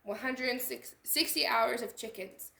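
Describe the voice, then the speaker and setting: A woman's voice: fairly high pitch, measured pace, creaky. A woman hosting a children's storytime, reading a picture book aloud expressively and adding little explanatory asides. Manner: animated, acting out lines and pointing out details. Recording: echoey room sound.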